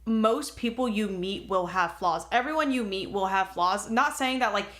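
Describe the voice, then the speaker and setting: A woman's voice: mid-range voice, normal speed, a bit bright. A talkative, rambling woman co-hosting a casual comedic podcast. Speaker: podcast co-host.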